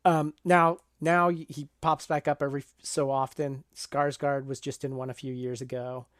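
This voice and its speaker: A man co-hosting a casual film discussion podcast, explaining things in a halting way. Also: medium pitch, level tone, a bit bright, no echo, clean studio sound. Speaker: podcast co-host.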